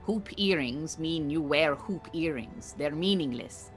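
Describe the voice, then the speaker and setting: An actress playing a proud, disillusioned Iranian refugee beekeeper in an audio drama, speaking in formal, dry, exacting phrases. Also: female voice, deep voice, expressive, unhurried, faint music behind. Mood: stern. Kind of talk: naturalistic audio drama acting.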